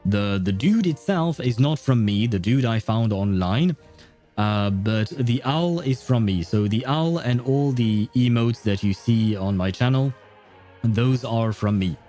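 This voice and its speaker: A man's voice: mid-range voice, lively intonation, unhurried, dark tone, soft background music. A man hosting a casual gaming livestream, chatting with viewers in a rambling, hesitant way. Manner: hesitant, rambling, chuckling often. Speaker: livestream host.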